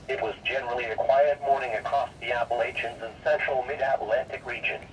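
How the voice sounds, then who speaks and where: A male weather radio announcer with a synthesized, formal and impersonal delivery, reading a scripted forecast over the radio. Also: mid-range voice. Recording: noisy, distant-sounding weather radio broadcast.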